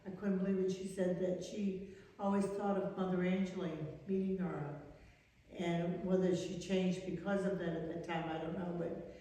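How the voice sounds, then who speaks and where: An elderly woman in her eighties with a Maine accent, a religious sister reminiscing in an interview in a halting, rambling way. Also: lowish voice, level tone, warm tone. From Maine, United States. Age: 80s. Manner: halting, rambling recollection with trailing sentences. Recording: echoey reverberant room, distant mic.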